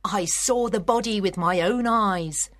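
A woman's voice: mid-range voice, a little slow, no echo, clean studio sound, natural voice. A woman playing a loyal, sorrowful older nurse with plain, weighty delivery in an audiobook tragedy. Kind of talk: dramatised audiobook of a classic tragedy.

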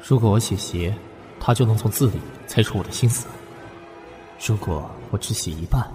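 心事重重的低沉男声，男演员演绎，配乐明显. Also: male voice, lowish voice, moderate pace, music in the background, natural voice. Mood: scheming, wary. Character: guilt-ridden, secretive, anxious man hiding a crime. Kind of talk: radio suspense drama acting and narration.

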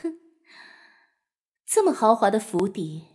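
A woman's voice: medium pitch, big pitch swings, slow pace, a little mellow, a little hiss or hum. A woman acting a confident, teasing senior assassin with private wistfulness in a Chinese costume audio drama. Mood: cool, amused.

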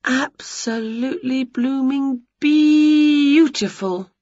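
A woman voicing an excitable, greedy, scatty woman from a children's storybook, gushing and exclaiming. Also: fairly high pitch, unhurried, slightly rough, dry recording, dull audio, voice pushed up, full character voice. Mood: wondering.

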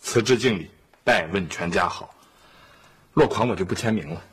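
A man playing a sardonic, excitable middle-aged husband and father in a family sitcom. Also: low-pitched, moderate pace, husky. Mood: theatrical reading. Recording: faint background noise.

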